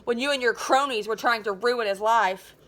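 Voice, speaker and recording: A woman's voice: on the high side, normal speed, a bit bright, natural voice. A woman voicing a nerdy middle-school boy in a storybook, resentful, defensive and sneering.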